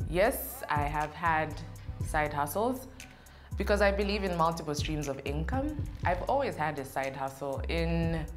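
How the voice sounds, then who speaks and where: A young woman, answering interview questions in a chatty, playful way in an indoor studio. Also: deep voice. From Zambia. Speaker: interview guest. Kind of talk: casual Q&A interview.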